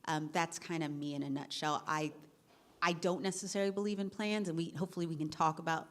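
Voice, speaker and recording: Low-pitched woman panelist, conversational and quick with her restarts. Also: fairly even intonation. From Virginia, US. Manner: chatty, self-correcting, quick bursts. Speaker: panelist, managing director. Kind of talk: panel discussion.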